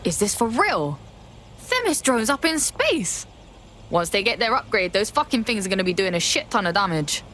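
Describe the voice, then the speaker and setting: A woman's voice: mid-range voice, big pitch swings, moderate pace, a bit bright, a little hiss or hum. An actress playing a foul-mouthed young female rebel, brash and blunt.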